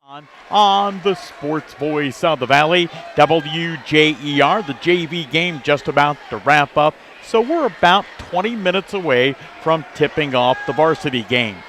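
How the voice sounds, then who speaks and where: A man's voice: on the high side, a little slow, hardly any pauses, a bit bright. An American man hosting a pre-game sports radio broadcast, moving between questions and sponsor reads. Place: basketball gym. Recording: faint gym crowd and noise behind.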